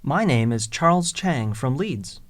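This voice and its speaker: Male textbook narrator, clear diction, dry close studio sound. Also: medium pitch, a little slow, no echo. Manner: even, clearly enunciated. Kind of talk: scripted textbook listening exercise reading.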